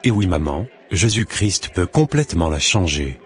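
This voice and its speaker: A man's voice: sits low, big pitch swings, moderate pace. A man playing a cautious, devout young son in scripted cartoon dialogue, speaking earnestly as if advising. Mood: relieved.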